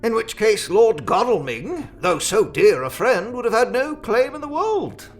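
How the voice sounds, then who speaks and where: An actor playing a genial, self-satisfied Victorian family solicitor in an audio drama, speaking in an effusive, formal, long-winded way. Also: male voice, high voice, sing-song, measured pace, faint music behind. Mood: earnest, self-justifying.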